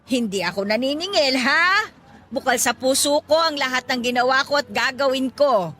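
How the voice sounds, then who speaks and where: A woman playing a warm, forgetful elderly grandmother in a Filipino radio drama, exclaiming and chuckling as she fusses. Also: high voice, lively intonation, normal speed, slight background noise. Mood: touched. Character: warm, teary, forgetful elderly grandmother who runs a store.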